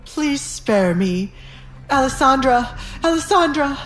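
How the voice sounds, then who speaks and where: A man playing a cowardly, frightened baron and father in an audio drama, pleading in a tearful, high-strung way. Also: animated, slow, crowd noise, heavily acted. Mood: desperate begging. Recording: echoey voice over background music.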